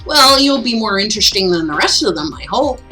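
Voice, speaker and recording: A woman's voice: medium pitch, animated, brisk, pushed and bright, faint music behind. Woman playing a breezy, teasing male school counselor. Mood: casual, teasing. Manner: teasing, mock-grand, casual. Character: breezy, wisecracking male school counselor, playful slacker mentor.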